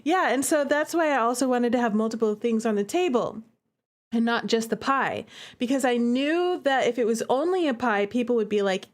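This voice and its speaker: Female game streamer, high voice, chatty and theatrical, talking straight to her audience. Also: normal speed. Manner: animated, theatrical, acts out reactions; conversational asides to chat. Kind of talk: casual livestream commentary.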